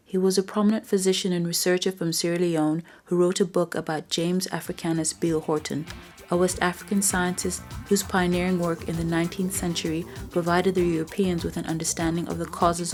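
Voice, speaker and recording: Low-voiced Zambian woman narrating. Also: a little mellow. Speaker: film narrator. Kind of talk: scripted documentary narration. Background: background music.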